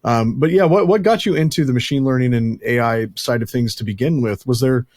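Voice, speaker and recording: A man's voice: medium pitch, a little fast, a little mellow, dry recording, studio quality. A man hosting a podcast who talks in a rambling, chatty, self-deprecating way. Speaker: podcast host. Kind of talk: casual podcast chat.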